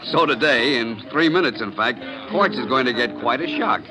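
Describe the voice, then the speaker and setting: Lively 1940s radio wisecracker, played by a man. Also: mid-range voice, moderate pace, close to an everyday voice, poor audio. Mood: smug, chatty. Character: Stanley, jovial know-it-all man needling his pals at bar. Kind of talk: 1940s radio drama acting. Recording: old 1940s radio recording, crowd and music.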